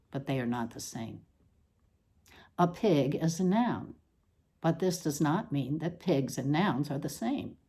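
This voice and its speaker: A woman reading a scripted literary essay aloud, with measured, deliberate phrasing and pointed pauses. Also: fairly low pitch, mellow. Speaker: reader.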